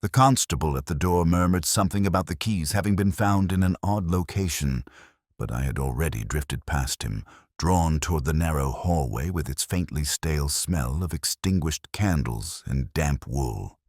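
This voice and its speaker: Very deep, brooding male actor as police inspector. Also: animated, darkish tone, no room sound, studio quality. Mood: brooding, observant. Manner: measured, brooding, literary. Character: melancholy, reflective male police inspector narrating his case. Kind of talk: scripted first-person detective story narration.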